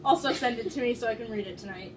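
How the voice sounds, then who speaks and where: A woman with a fairly high voice reads aloud in an amateur dramatic style. She is giggly and trips over words, dropping into casual asides. There is faint noise behind her. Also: rapid-fire. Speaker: fan fiction reader.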